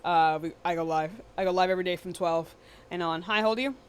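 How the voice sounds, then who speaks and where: A woman's voice: lowish voice, quick pace, clean tone. A woman hosting a live debate stream in a casual, blunt, dismissive and often profane way. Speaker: livestream debate host.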